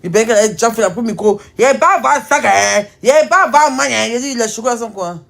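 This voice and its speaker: A woman's voice: a little high, fast talker, creaky, a bit bright. A woman in her thirties from Ivory Coast with an Ivorian French accent, chatting casually on a live stream in an emphatic, teasing way and firing rhetorical questions at her viewers. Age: 30s.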